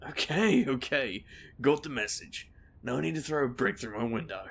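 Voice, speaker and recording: An actor playing a wry, reckless male paranormal investigator who tells his own tale in an audio drama, with a gravelly, sardonic delivery. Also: medium pitch, animated, normal speed. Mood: flippant. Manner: wisecracking, casual, sardonic. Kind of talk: audio drama acting with noir-like first-person narration.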